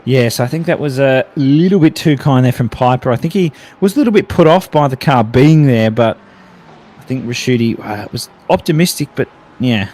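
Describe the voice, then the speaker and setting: A man's voice: mid-range voice, animated, moderate pace, warm tone. Australian man calling a sim race. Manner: running through gaps between cars, lifting with the action. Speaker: race commentator. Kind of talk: sim racing commentary.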